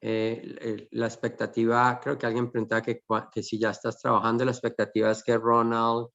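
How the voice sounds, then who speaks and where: A man hosting a conversational webinar, explaining things in halting, repeated phrases. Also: medium pitch, monotone, creaky, fairly bright tone, highs cut off. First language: Spanish. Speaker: host.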